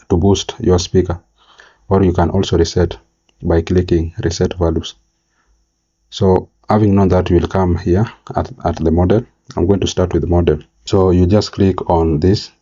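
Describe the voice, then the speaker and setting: Male tutorial presenter, very low and monotone, halting step-by-step delivery, slightly muffled recording. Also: breathy edge. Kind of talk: screen-recorded software tutorial narration. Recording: slightly dull, lo-fi mic.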